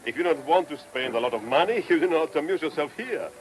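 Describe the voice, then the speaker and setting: An actor playing a genial, talkative Viennese police commissioner with an Austrian German accent and formal foreign phrasing, in a radio play. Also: male voice, on the high side, lively intonation, quick pace, over music, lightly acted. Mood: genial, chatty. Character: genial, courteous Viennese police commissioner who loves food. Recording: noisy old radio drama recording.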